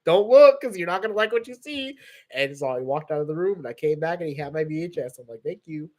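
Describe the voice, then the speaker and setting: A man's voice: fairly high pitch, lively intonation, fast, darkish tone. A man hosting a channel, chatting casually and chummily with fans on a livestream in a rambling way. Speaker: host of a fan series channel answering questions.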